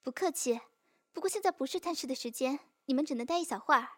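A woman's voice: sits high, expressive, quick pace, dry recording, studio quality. A woman playing a kindly, businesslike nurse in an audio drama, polite and chatty. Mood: polite, instructive. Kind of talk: Chinese audio drama acting.

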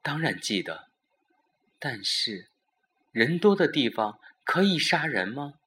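A man's voice: mid-range voice, very animated, takes it slowly, fairly bright tone, pitched above the performer's own voice. A man voicing an agitated newlywed woman who questions a murder plot with disbelief in a suspense audio drama. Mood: doubtful. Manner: questioning, incredulous.

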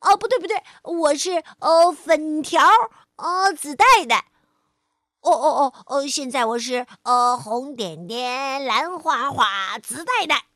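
A nervous little male chameleon from a storybook, voiced by a woman, who stammers and trips over his words. The voice sits high and swings widely, close and dry. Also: animated, measured pace, fairly bright tone, dry recording, heavily acted. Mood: flustered.

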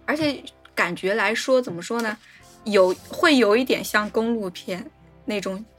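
A woman's voice: medium pitch, a little slow, soft background music. A woman co-hosting a podcast, talking reflectively about film in short, casual phrases. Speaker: podcast co-host.

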